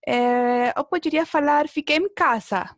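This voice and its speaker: High-voiced woman teaching an online class. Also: a little slow, no room sound. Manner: encouraging, checking with tag questions, prompting pupils. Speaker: language teacher. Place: indoor room, online video class.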